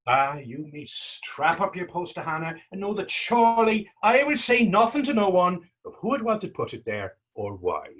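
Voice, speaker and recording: A man playing Tommy, a talkative, gentle and wry Irish former fisherman, in staged readers theater dialogue with a folksy, halting storytelling lilt. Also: high voice, very animated, heavily acted. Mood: confiding.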